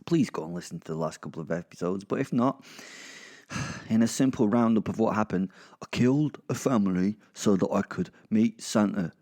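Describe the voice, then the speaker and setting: A man's voice: fairly low pitch, normal speed, mellow, natural voice. A man with a British accent playing a sardonic, foul-mouthed werewolf serial killer who narrates in a rambling, confiding way. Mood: wry, aggrieved. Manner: rambling, confiding, sweary, dry. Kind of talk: dramatised first-person narration to listeners.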